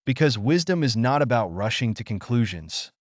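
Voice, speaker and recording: A man voicing a kindly, patient, wise old village man in a bedtime story, soft-spoken and thoughtful. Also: medium pitch, very animated, normal speed, no room sound.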